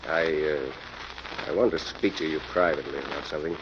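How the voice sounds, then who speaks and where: A man's voice: very deep voice, fairly quick. An actor playing a confident, wealthy horseman in a 1940s-style radio mystery. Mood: confiding. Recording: muffled old radio recording, heavy crackle.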